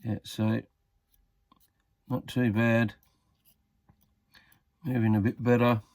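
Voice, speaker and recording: A man's voice: mid-range voice, little pitch movement, slow, darkish tone, dry recording. A man giving a casual hands-on repair tutorial, talking tentatively and muttering as he works. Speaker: hobbyist demonstrating a radio repair.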